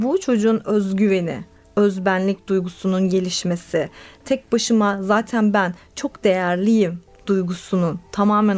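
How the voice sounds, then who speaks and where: A woman play therapist, a guest on a radio interview, explaining her ideas thoughtfully with many pauses and fillers. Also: medium pitch, darkish tone.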